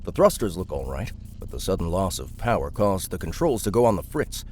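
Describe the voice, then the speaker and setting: Gruff, deep, animated mechanic. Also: male voice, brisk, a little hiss or hum. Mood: offhand appraisal. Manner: colloquial, blunt, offhand. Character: Bolko, gruff confident mechanic man, casual and wry. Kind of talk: audiobook drama acting.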